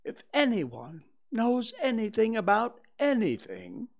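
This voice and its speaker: A man voicing a cheerful, earnest little male teddy bear in a storybook reading, in a bright, eager, slightly sing-song manner. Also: sits high, wildly swooping pitch, slow, creaky, voice pushed up, exaggerated voice.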